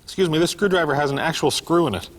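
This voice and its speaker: A man's voice: fairly high pitch, fast talker, lightly acted. An actor playing a wry small-town man who does stand-up, joking with dry mock exasperation at a sitcom table read.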